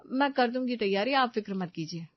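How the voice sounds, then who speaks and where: A woman plays a young Indian housewife in a cartoon story, exasperated with her family and quick to scold. She fusses over what everyone eats. The sound is very dry and a little lo-fi. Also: on the high side, animated, no echo. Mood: apologetic, flustered. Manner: scolding, fussy.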